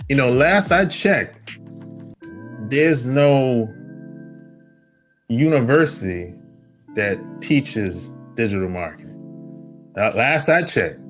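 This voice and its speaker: Male podcast host, slow deliberate delivery, faint music underneath. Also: medium pitch, expressive. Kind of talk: interview podcast.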